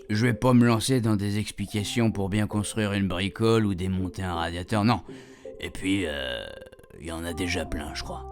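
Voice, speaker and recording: A man's voice: lowish voice, lightly acted. Man acting chatty cheeky old grandpa, music behind. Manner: rambling, colloquial, repeatedly checking his puns landed. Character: chatty retired grandpa, cheeky, self-amused, homespun storyteller. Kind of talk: radio fiction monologue.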